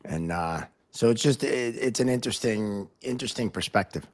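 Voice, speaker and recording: An American man hosting a casual podcast interview, talking in a halting way and often repeating words. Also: fairly low pitch. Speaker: podcast host, hockey referee.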